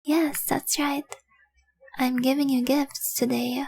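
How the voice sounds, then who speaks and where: Woman doing a besotted dragon-blooded girlfriend, high soft voice, slow n lingering, half whispering, tender but possessive, romance audio roleplay. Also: level tone, full character voice, poor audio. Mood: earnest, warm. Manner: tender, breathy, often dropping to a whisper, lingering on words. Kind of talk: intimate romantic audio roleplay, addressed to the listener.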